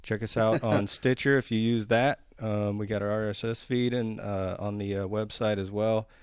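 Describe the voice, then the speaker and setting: A man's voice: lowish voice, fairly even intonation, moderate pace, clean tone, no room sound, studio quality. A man co-hosting a podcast, chatting informally and bluntly. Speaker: podcast co-host. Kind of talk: casual podcast chat.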